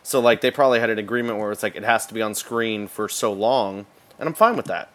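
A man's voice: lowish voice, quick pace, a bit bright. A man chatting casually as a co-host of a video game podcast. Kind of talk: casual chat.

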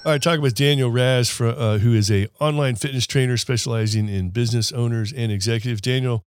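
A man's voice: medium pitch, fast talker, smooth, no echo. A middle-aged man hosting an interview podcast, rambling, chuckling and trailing off. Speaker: podcast host. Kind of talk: casual interview.

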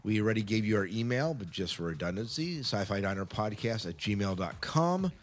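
A man's voice: fairly low pitch, animated, fairly quick, a little hiss or hum. A man co-hosting a podcast, talking casually and chattily to listeners, rambling a little and correcting himself as he goes. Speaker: podcast co-host.

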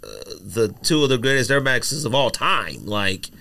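Man, podcast co-host, mid-pitched, relaxed slangy delivery. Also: normal speed. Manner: casual, uses slang, speaks in loose run-on thoughts. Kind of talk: casual podcast chat.

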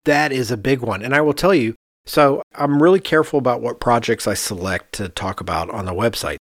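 A man's voice: mid-range voice, fairly quick, dry recording, studio quality. A man who works as an architect, chatting casually as a podcast host in an emphatic way with rhetorical questions. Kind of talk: casual conversational discussion.

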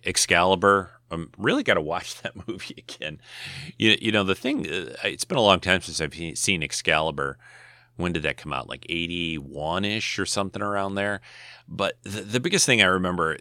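A middle-aged man with an extremely low voice talks in a loose, rambling way, full of restarts and "you know"s. His tone is bright and forceful, and his throat sounds a little dry. The sound is close and dry, with no room around it. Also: dry recording. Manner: rambling, many restarts and fillers. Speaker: podcast host. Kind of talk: casual solo talk.

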